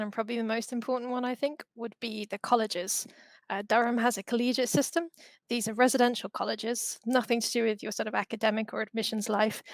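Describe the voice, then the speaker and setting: A woman's voice: on the high side, dry recording. A middle-aged woman giving informal, explanatory interview answers on a headset. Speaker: university representative, guest. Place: indoors, video call.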